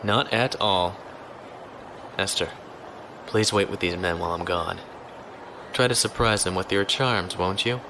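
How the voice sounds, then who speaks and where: An actor in a science fiction audio drama playing a composed noble lieutenant with a polite, dry, formal manner. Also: male voice, deep voice, unhurried, pauses often. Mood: polite, affable. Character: composed, courteous noble space-navy lieutenant on the run. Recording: noisy ambient background.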